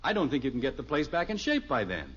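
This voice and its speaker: A man's voice: mid-range voice, huge pitch swings, fast, fairly bright tone, husky, heavily acted. An actor playing a blustery but easygoing middle-aged father in a 1950s radio sitcom. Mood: businesslike. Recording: muffled, hissy old radio recording.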